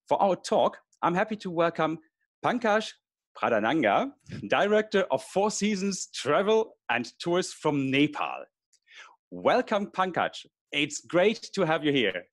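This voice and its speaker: A man's voice: on the high side, dry recording, clean studio sound. A man in his forties hosting a conversational online interview, speaking into a headset mic. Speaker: host of an online travel talk. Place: small room at home, video call. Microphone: headset boom mic.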